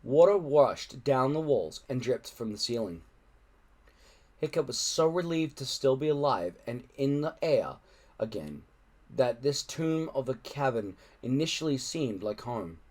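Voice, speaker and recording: A man reading a children's adventure book aloud in an expressive narrating style. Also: mid-range voice, unhurried. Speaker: audiobook-style reader.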